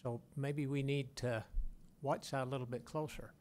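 A man's voice: mid-range voice, steady tone, moderate pace, a little mellow, a little hiss or hum. A man on a wildlife board asking a question and voicing a plain-spoken concern at a meeting. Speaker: board member.

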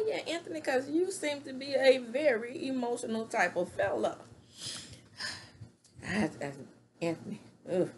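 A woman's voice: fairly high pitch, slight background noise. A middle-aged woman giving a chatty, opinionated recap of a reality show from a room at home, recorded on a webcam. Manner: chatty and opinionated, hand gestures. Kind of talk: casual recap commentary. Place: small room at home, indoors. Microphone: webcam at desk distance.

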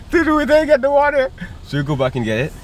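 A young American man vlogging pranks in casual, slangy, excitable chat with his friends. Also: very high voice. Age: young adult. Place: inside a car and outdoors by a mall. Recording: noisy car and street ambience.

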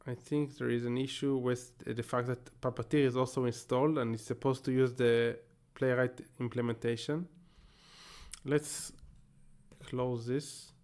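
A man's voice: medium pitch, fairly even intonation, unhurried, a little mellow. A man in his thirties with an Israeli accent, a native Hebrew speaker, talking casually through a screen-share tech tutorial and thinking aloud as he works. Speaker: tech YouTuber.

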